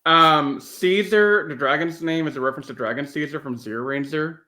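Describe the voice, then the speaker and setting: A man's voice: fairly high pitch, moderate pace, sharp tone. A man speaking as a podcast host in casual, conversational chat.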